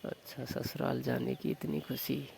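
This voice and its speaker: A young man playing a mildly sulky, teasing newlywed husband in a casual staged phone-call skit, questioning and gently reproachful. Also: medium pitch, level tone, a little slow, creaky. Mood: reassuring, teasing. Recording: noisy phone call recording.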